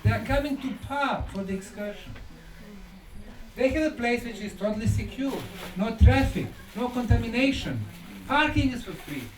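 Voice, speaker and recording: A man's voice: very high-pitched, lively intonation, moderate pace. A man in his sixties with a Bosnian accent, giving an emphatic conference lecture in short declarative phrases. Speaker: lecturer and researcher. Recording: echoey hall from far off, music behind.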